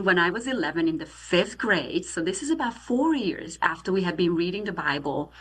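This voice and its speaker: Romanian-accented woman guest, middle-aged, slightly low voice, earnest steady storyteller. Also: rough recording. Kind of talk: testimony interview.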